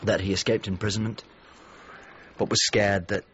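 An actor playing an anxious, grieving painter in a radio drama, speaking in a hesitant, subdued, searching way. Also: male voice, lowish voice, level tone, moderate pace, a bit bright, slight background noise, lightly acted. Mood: subdued, guarded. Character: anxious, grieving male painter seeking his lost old friend. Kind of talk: naturalistic radio drama dialogue. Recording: slightly dull, faint background ambience.

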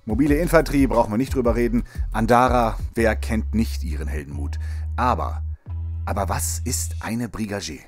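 A man's voice: on the low side, moderate pace, faint music behind, close to an everyday voice. A man playing a peppy, jovial propaganda host of a military morning radio show, with showy, chummy presenter patter. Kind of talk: radio show moderation in audio drama.

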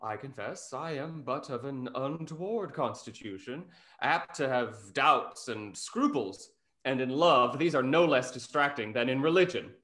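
An actor playing a witty, snarky young London gentleman in a stage comedy, wry and ornate, over a video call. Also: medium pitch, expressive, measured pace. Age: young adult.

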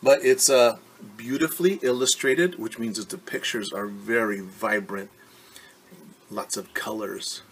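A man's voice: fairly low pitch, steady tone, a little slow, a little hiss or hum. A middle-aged man reading a picture book to children as a teacher, stopping to explain things patiently in simple words. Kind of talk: storytime read-aloud with simple explanations for children. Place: living room at home.

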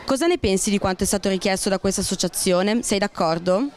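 A woman hosting a street interview, reading a clear introduction and asking short questions outdoors into a handheld mic. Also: medium pitch, fast, a little hiss or hum. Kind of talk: street vox pop interview. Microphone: handheld microphone.

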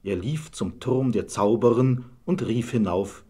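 A man narrating a scripted fairy tale as a measured storyteller. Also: on the low side, mellow, husky.

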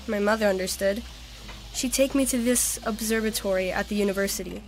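A woman's voice: medium pitch, brisk, slight background noise, lightly acted. An actress playing a wistful, bookish young woman who loves astronomy, in a natural audio drama.

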